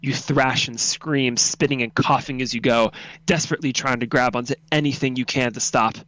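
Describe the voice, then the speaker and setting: A man's voice: medium pitch, fairly even intonation, fairly quick, fairly bright tone, dry recording, poor audio. A man narrating a second-person horror story in a dramatic, solemn, intense reading.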